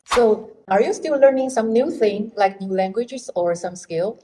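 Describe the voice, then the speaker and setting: A woman in her sixties hosting an interview, asking gentle questions and answering with short, encouraging replies. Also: medium pitch, a little slow, low-quality recording. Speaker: interviewer and host.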